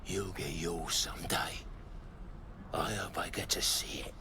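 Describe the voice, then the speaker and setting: A man's voice: sits low, fairly even intonation, unhurried, in a whisper. An actor playing an angry, threatening, aggrieved man in a video game. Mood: bitter muttering. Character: angry bullying man accusing a child of theft. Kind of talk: video game character acting.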